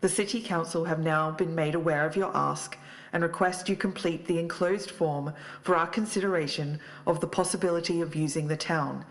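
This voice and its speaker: A woman's voice: deep voice, steady tone, moderate pace. A woman co-hosting an investigative podcast, moving between scripted narration and casual talk. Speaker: podcast co-host.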